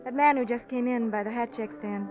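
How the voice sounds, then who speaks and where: A woman's voice: on the high side, a little fast, faint music behind, natural voice. A woman acting as a trusting but despondent young wife in a 1940s radio drama, with a hesitant, wistful manner. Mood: resigned, anxious. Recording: dull lo-fi old radio recording.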